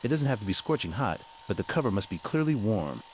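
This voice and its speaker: A man's voice: fairly low pitch, sing-song, fast talker, slight background noise. A man giving scripted instructional narration, guiding the listener carefully through each step. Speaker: repair tutorial narrator.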